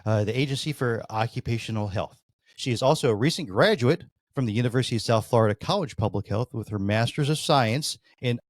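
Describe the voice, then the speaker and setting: A male podcast host asks long, meandering questions and leans heavily on fillers. His voice sits at a medium pitch and is recorded dry and close, with no room echo. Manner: rambling, with many "you know" fillers. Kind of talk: podcast interview.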